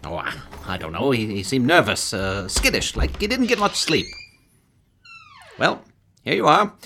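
An actor playing a polite, fussy and uneasy building manager with a hesitant, deferential manner in a radio drama. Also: male voice, medium pitch, big pitch swings, a little slow, sharp tone, a little hiss or hum, heavily acted. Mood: uneasy, sympathetic. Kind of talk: 1920s-style radio drama acting.

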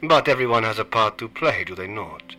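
Sinister dealer, male, cold and clipped, bright edgy tone, hushed menace, played by a man. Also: lowish voice, lively intonation, brisk, faint music behind. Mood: cold, menacing. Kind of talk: radio play acting.

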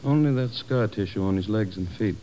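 An actor playing a polite, matter-of-fact morgue doctor in a 1950s radio drama. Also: male voice, lowish voice, a little fast, dark tone, natural voice. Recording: muffled noisy 1950s radio recording.

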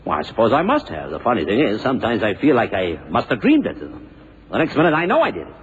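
An actor playing a wry, rambling, hungover painter in an old radio drama. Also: male voice, lowish voice, huge pitch swings, fast talker. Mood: anguished. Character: hungover self-deprecating boastful bohemian painter, confessed killer. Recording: muffled 1970s radio drama, hiss, reverb.

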